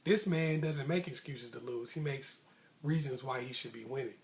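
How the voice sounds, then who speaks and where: A man's voice: medium pitch, moderate pace, vocal fry. A man telling a personal story in a casual, motivational way, with slangy, emphatic phrasing and repetition for emphasis. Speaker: vlogger, storyteller.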